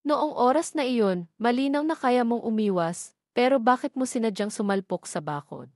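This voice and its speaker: Brief: skeptical young heroine, pointed and sarcastic, read by the narrator. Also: female voice, fairly high pitch, animated, moderate pace, darkish tone, dry recording, clean studio sound, close to an everyday voice. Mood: doubtful. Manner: doubtful, sarcastic. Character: wary young woman, sharp-tongued.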